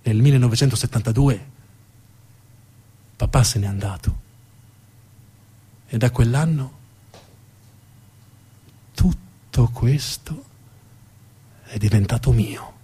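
A man delivering a dramatic narrative theatre monologue with emphatic, deliberate phrasing. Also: mid-range voice, dark tone, slightly rough. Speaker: stage storyteller, actor.

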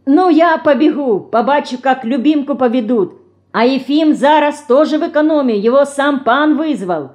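A woman voicing an excited, gossipy peasant girl from a Ukrainian village, with a Ukrainian accent, in a storybook reading. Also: medium pitch, measured pace, lightly acted.

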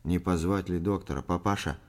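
A man's voice: low voice, fairly even intonation, a little fast, darkish tone, close to an everyday voice. A man voicing an anxious, dutiful grown son in an audiobook, urgent and pleading as he soothes. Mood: concerned.

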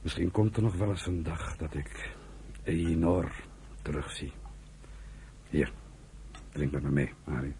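An actor playing an embittered, fervent old uncle who leads an outlawed militia in a Dutch radio play, speaking in a rough, halting, emphatic way. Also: male voice, rumbling low, mellow, slight background noise. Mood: wistful. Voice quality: husky, rough.

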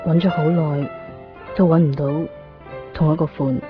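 An actress playing a wistful, reflective narrator in a radio drama monologue, measured and pausing, with a Hong Kong Cantonese accent. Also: female voice, low-pitched, steady tone. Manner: measured, pausing between short phrases. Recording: muffled 1990s radio, guitar music behind.

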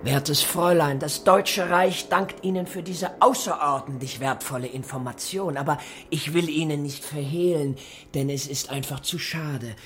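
An actor playing a smooth, menacing Nazi interrogator in a German radio drama, mock-polite and condescending, with deliberate pauses and drops into whispering. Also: male voice, a little high, a bit bright, natural voice. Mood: mock courtesy.

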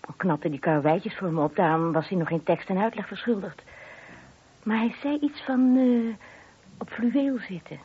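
A woman's voice: mid-range voice, normal speed, husky. An actress playing a married woman who is a discreet but gossipy witness, hesitant, confiding and a little defensive in a radio play. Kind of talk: Dutch radio drama dialogue. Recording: muffled lo-fi old radio recording.

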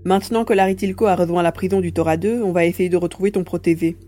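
A man voicing a small telepathic mouse-beaver alien with a husky, playful, grumbling way of talking in an audio story. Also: high-pitched, steady tone, fast talker, warm tone, soft background music, voice pushed up, lightly acted. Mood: matter-of-fact.

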